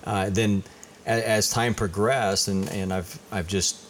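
Very deep-voiced, even-toned middle-aged man. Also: takes it slowly, a little hiss or hum. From Indiana, USA. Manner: rambling, repeats words while thinking. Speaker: guest, high school principal. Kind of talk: casual interview.

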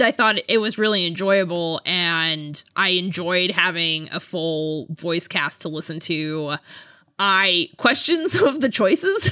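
A lively, bright woman's voice with plenty of movement in pitch. She talks like a podcast co-host in a casual chat, giggling through her words. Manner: giggly, laughs while talking. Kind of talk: casual book-review chat.

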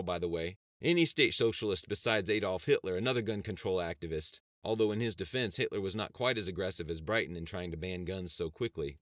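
A man's voice: on the low side, a bit bright, no room sound, clean studio sound, pitched above the performer's own voice, lightly acted. A man voicing a sarcastic, combative male congressman in an audiobook. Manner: sarcastic, defiant.

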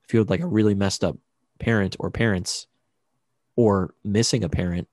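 A man's voice: lowish voice, moderate pace, a little mellow, no room sound. A man co-hosting a casual podcast, joking and playful, tossing in silly put-on accents. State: sleepy. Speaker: podcast co-host. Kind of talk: casual chat.

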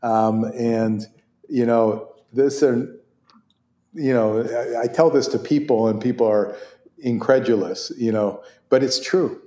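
A man's voice: mid-range voice, slow. Hebrew-speaking older man telling stories in an echoey room. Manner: animated, laughs often, many restarts. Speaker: interview guest, orthopedic surgeon. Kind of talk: conversational storytelling.

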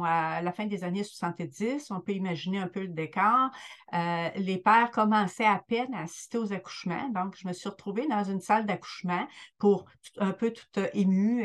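Older Quebec French woman, hesitant. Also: mid-range voice. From Quebec, Lower St. Lawrence. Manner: hesitant, many fillers. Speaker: guest midwife. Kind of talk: reflective interview storytelling.

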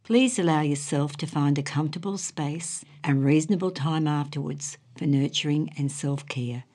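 Deep, mellow woman hosting a podcast. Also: normal speed. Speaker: podcast host. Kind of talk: measured podcast presenting and chat.

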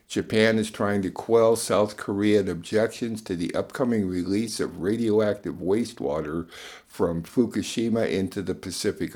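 A man's voice: low-pitched, darkish tone. A man presents a scripted radio news digest as the show's host.

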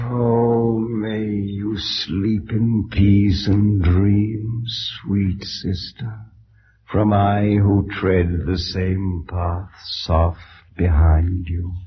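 An actor playing a morbid, grief-maddened last heir of a doomed family in vintage radio horror, theatrical and volatile between hushed and feverish delivery. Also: male voice, on the low side. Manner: theatrical, swinging from hushed whispers to feverish outbursts. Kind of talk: 1940s-50s radio horror drama acting. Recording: dull, noisy vintage radio recording.

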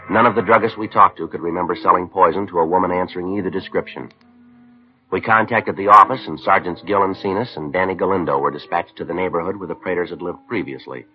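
An actor playing a stoic, courteous police detective sergeant in a 1950s radio crime drama, deadpan and clipped. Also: male voice, low-pitched, natural voice. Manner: deadpan, clipped, matter-of-fact. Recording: dull lo-fi 1950s radio recording.

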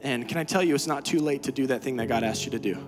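A man preaching a sermon as pastor, swinging from quiet, low talk to loud, high-pitched exhortation. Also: quick pace, over music. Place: church sanctuary.

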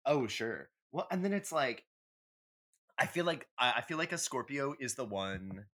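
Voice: medium pitch, very animated, moderate pace, fairly bright tone. A podcast co-host in casual chat, giving candid, emphatic, sweary opinions like a comic rant.

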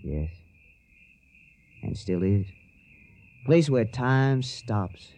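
A man playing a gentle, wistful young soldier in a radio drama, speaking in a measured, reflective way. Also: on the low side, lively intonation, full character voice. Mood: wistful, resolute. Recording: noisy old radio drama recording.

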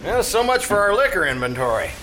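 A man acts out a high, bright-voiced tavern manager from New in a radio comedy. He is breezy and slangy, mangling his grammar as he wisecracks, with clear noise of a live stage behind him. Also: big pitch swings, fast talker, exaggerated voice, low-quality recording. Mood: glib. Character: wisecracking, malapropism-prone male manager of a shabby tavern. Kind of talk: old-time radio comedy acting on stage.

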